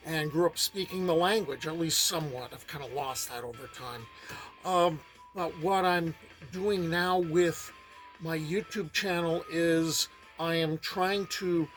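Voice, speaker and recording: Middle-aged american guy reading old folk tales, kinda high voice, super dry close mic, easygoing pace. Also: no echo. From United States. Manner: plain, unhurried storytelling voice with small restarts. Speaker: storyteller and channel host. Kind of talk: folktale narration.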